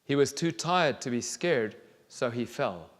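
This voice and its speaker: A man in his thirties reads a children's story aloud on stage in a deliberate, expressive way with pauses. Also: medium pitch. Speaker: children's author reading aloud. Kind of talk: storybook reading to an audience. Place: large theatre stage, indoors. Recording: reverberant theatre hall.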